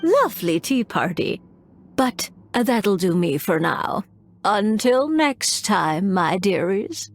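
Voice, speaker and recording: A woman's voice: medium pitch, big pitch swings, slow pace, a little hiss or hum. A woman playing a playful older witch in a toy body in a video game, with a grand, teasing delivery. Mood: amused approval. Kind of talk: scripted video game voice acting. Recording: game music loud behind voice. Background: loud game music.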